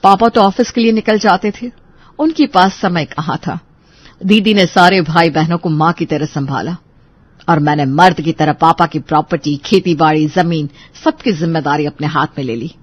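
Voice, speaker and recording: A woman's voice: deep voice, normal speed, dull audio. A woman playing a tough, protective lawyer elder sister in an audio story, assertive yet reassuring. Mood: proud, reassuring. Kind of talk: Hindi audio story acting.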